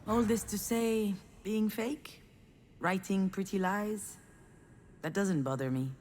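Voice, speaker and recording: An actress playing a failed screenwriter, a cynical, melancholic loner, in a measured, confiding, wry game monologue. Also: female voice, medium pitch, darkish tone, a little hiss or hum, natural voice. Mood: resigned, intimate. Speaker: narrator protagonist of a visual novel. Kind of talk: introspective scripted monologue.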